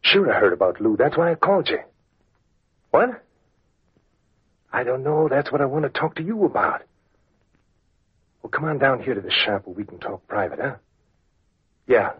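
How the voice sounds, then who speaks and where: A shifty defiant crook from an old radio show, raspy slang, thin lo-fi sound. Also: male voice, medium pitch, sing-song, moderate pace, long pauses. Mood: furtive. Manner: slangy, cagey, blustering. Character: shifty, defiant small-time male crook. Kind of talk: 1940s radio drama acting. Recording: tinny, scratchy old radio recording.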